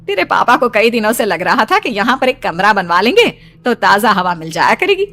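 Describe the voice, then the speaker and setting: A woman voicing a caring middle-aged mother who gently scolds her grown daughter in a horror cartoon. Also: mid-range voice, big pitch swings, a little fast. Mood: gentle. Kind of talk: animated horror story dialogue.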